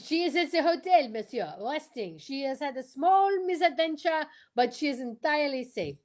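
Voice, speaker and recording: A woman's voice: sits high, expressive, normal speed. A woman playing an assured, courteous male Belgian detective, formal and theatrical, in a radio drama. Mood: reassuring. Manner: formal, theatrical, peppered with French exclamations. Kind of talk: old-time radio detective drama acting.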